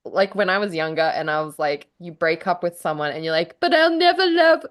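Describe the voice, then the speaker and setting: A woman's voice: lowish voice, animated, fairly quick. A woman co-hosting a casual podcast chat, often laughing as she talks. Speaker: podcast co-host and writer.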